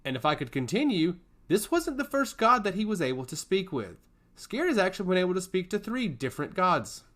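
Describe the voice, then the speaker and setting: Casting: male narrator as a smug fantasy emissary and teasing schemer, theatrical, with lively swooping pitch. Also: brisk. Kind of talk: fantasy audio story character.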